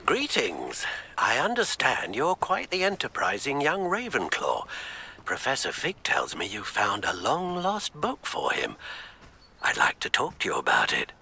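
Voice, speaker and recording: Gravelly, sharp, swooping formal wizard. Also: male voice, medium pitch, huge pitch swings, unhurried, slight background noise, full character voice. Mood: formal, intrigued. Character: enterprising-minded, formal wizard requesting a chat. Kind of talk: video game voice acting.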